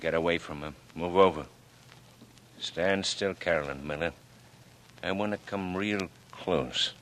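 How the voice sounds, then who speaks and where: A short-fused American heavy, deep and hard-edged, bullying menace, vintage radio thriller with some hiss. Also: male voice, measured pace, a bit bright. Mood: cold menace. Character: menacing, short-tempered male killer. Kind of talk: 1940s radio crime drama acting. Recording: noisy old radio recording.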